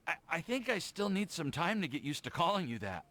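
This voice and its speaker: A man voicing a shy, adoring, lovestruck young man in a video game, stammering and halting as he speaks. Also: fairly high pitch, sing-song, a bit bright. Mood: flustered. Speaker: game character voice actor. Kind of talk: voiced game dialogue.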